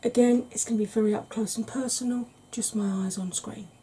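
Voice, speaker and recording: A woman in her forties, a makeup vlogger, talking through an ASMR makeup tutorial slowly and gently in a soft, breathy voice that often drops to a whisper, recorded at home. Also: a little high, steady tone, a little hiss or hum. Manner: slow, gentle, patient explaining. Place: small home room.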